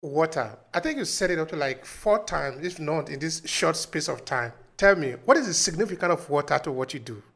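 A man's voice: a little high, animated, fairly quick, gravelly, few pauses, a bit bright. A man interviewing a guest, asking inquisitive, searching questions.

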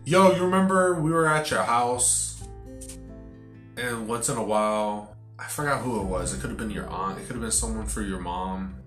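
Young man, native Sicilian speaker, low voice, loose filler-heavy chat, roomy echo, music underneath. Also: moderate pace. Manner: rambling, heavy on fillers and swearing. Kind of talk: casual chat.

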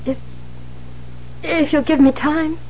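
Casting: earnest, frightened ex-nun in 1970s radio drama, very high voice, halting delivery, muffled noisy recording. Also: female voice, fairly even intonation. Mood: desperate, pleading. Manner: halting, stammering, pleading. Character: frightened, earnest young former nun seeking a lost statue.

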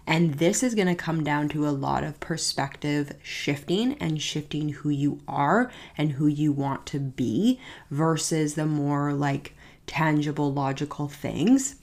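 A young woman hosts her own podcast, chatting casually and upbeat to her listeners. Her voice sits low and sounds nasal and congested from a cold. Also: unhurried, darkish tone. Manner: chatty, upbeat, says "you guys" often. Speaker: podcast host. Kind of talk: casual solo podcast talk.

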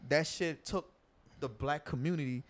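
A man's voice: a little high, moderate pace. A young man in casual group talk, explaining at length with many fillers and restarts. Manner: animated, lots of filler words and restarts.

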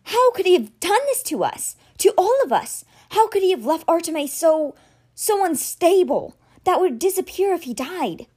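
A woman's voice: extremely high pitch, big pitch swings, normal speed, darkish tone, voice pushed up. A woman reading aloud, voicing a desperate, angry teenage boy leader from a storybook.

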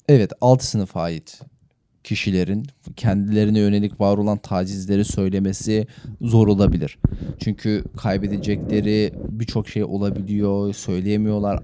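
A man's voice: fairly low pitch, dark tone, slight background noise. A man co-hosting a podcast in casual, joking conversation. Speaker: podcast co-host.

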